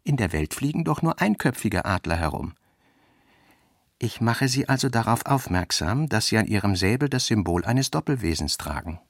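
A man narrating literary prose and its dialogue in a measured, articulate way. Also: on the low side, sing-song, no echo, studio quality.

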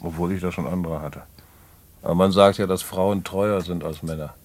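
An actor playing a streetwise, fatalistic small-time crook in jail, musing in colloquial German radio-play style. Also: male voice, sits low, fairly even intonation, normal speed, a little mellow. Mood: confident, reminiscing.

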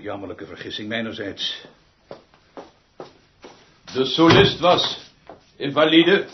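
An actor playing an eccentric, world-weary, self-important conductor in a radio play, rambling theatrically and repeating himself. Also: male voice, medium pitch, natural voice. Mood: agitated. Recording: dull, noisy radio drama recording with clicks.

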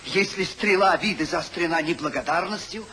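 An actor playing an unctuous gentleman who feigns noble feelings, declaiming pompously in 1950s radio style. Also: sits high, fairly quick. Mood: sanctimonious, indignant. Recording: noisy 1950s radio play recording.

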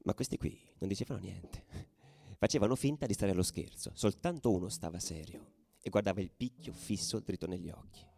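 A man's voice: sits low, a little fast, vocal fry. A man playing a tough football ultra, telling his story as an intense, colloquial radio monologue. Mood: tense storytelling. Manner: colloquial, intense, swinging from hushed to shouted. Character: tough young male football ultra recounting his exploits.

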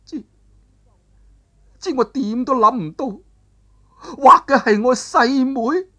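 A male storyteller voicing an aged woman martial arts master who is bitter and grieving, in a high strained falsetto that wavers between laughter and sobbing. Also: expressive, slow pace, pushed and bright, muffled recording, full character voice. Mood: distraught. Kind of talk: traditional storytelling, voiced character dialogue.

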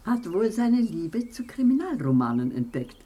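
A woman's voice: mid-range voice, normal speed, breathy edge. An actress playing a chatty, motherly and matter-of-fact woman crime novelist in a German radio drama. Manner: conversational, animated, matter-of-fact.

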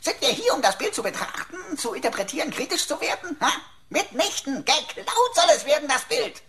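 A woman's voice: high voice, very animated, fairly quick, fairly bright tone, full character voice. A woman playing a cheeky, mocking pocket computer in a radio play, theatrical, nagging and sarcastic. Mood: scolding. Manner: theatrical, mock-pompous, sarcastic.

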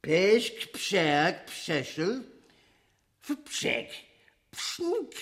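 Very slow, staccato word reciter. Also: male voice, high voice, big pitch swings, a bit bright, full character voice. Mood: deadpan, clipped. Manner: staccato, isolated one-word utterances. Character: insistent man reciting clipped nonsense syllables like native words. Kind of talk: absurdist radio play recitation.

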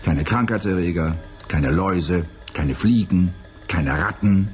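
An actor playing a cynical, hard-drinking biologist in a theatrical, sardonic radio drama style. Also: male voice, low-pitched, unhurried, darkish tone, faint music behind. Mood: mocking. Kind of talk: 1980s German radio drama acting.

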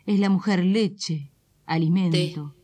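A woman with a fairly low voice recites performance poetry for radio. Her pacing is very slow, laid out in short, measured phrases. The delivery is incantatory, built on repetition and lists. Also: dark tone. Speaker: poet.

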